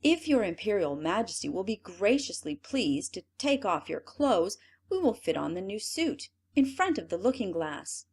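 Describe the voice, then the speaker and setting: A woman's voice: medium pitch, sing-song, moderate pace, darkish tone. A woman playing crafty swindler weavers. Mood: showy. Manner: smooth, showy salesman patter.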